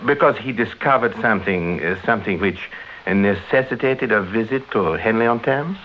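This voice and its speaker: An actor playing a formally polite, hesitant gentleman who probes gently, in a vintage radio drama. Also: lowish voice, normal speed, close to an everyday voice. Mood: politely probing. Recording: dull vintage radio recording, faint hiss.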